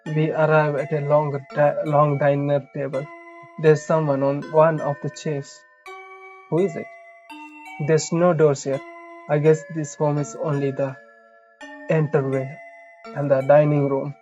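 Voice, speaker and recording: A let's play streamer reads a visual novel aloud at an unhurried pace, adding casual comments. The delivery is halting, with lines restarted here and there. The sound is a little dull, and game music plays behind the voice. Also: medium pitch, flat delivery, darkish tone.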